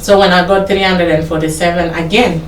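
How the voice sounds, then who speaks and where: A Kenyan woman with a low voice and a Kenyan English accent recounts her life in a relaxed interview, often restarting sentences. She sounds distant from the mic in an echoey room, with faint noise behind her. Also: brisk. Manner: rambling, self-correcting, laughs while telling. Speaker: interview guest. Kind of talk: casual life-story interview.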